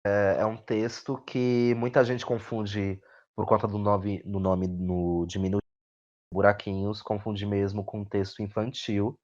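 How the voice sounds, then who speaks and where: A man's voice: lowish voice, little pitch movement, measured pace, darkish tone. A man with a Brazilian Portuguese accent talking reflectively as an interview guest, often repeating words and adding tag questions. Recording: dull, lo-fi audio.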